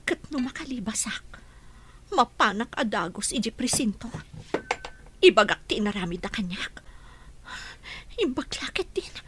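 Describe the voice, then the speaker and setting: A woman's voice: on the high side, sing-song, normal speed, pauses often, a bit bright, a little hiss or hum. A woman acting in a radio drama as a captive, whispering her determined plan to escape. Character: captive plotting escape through a window. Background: music.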